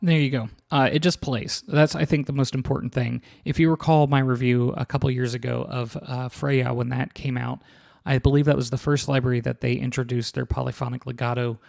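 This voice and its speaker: Brief: hoarse male reviewer, gravelly fry, loose filler-heavy delivery, home-studio walkthrough. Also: mid-range voice, normal speed. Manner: relaxed, filler-heavy, restarts sentences. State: hoarse, scratchy voice. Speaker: sample library reviewer. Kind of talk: casual product walkthrough.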